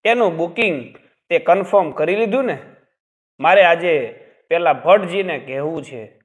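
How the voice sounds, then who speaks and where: An eager, cheerful older father voiced by a male narrator in a family story. He talks enthusiastically, with lively, fairly high pitch. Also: normal speed, in a large hall, natural voice. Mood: enthusiastic.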